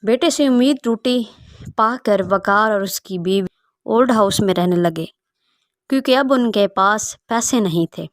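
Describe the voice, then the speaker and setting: A woman's voice: mid-range voice, a little slow, no room sound. Woman narrator with very dry sound. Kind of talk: scripted story narration.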